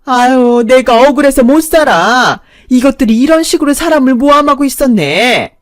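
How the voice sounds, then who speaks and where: A woman voicing a shameless, nagging elderly stepmother-in-law who scolds loudly. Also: fairly high pitch, animated, moderate pace, lightly acted. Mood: wailing. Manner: nagging, wailing, self-pitying.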